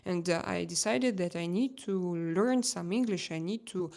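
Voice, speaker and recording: A woman from Russia with a Russian accent, a native Russian speaker, chatting casually as a live stream host in halting English and often searching for words. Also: fairly low pitch, vocal fry, darkish tone.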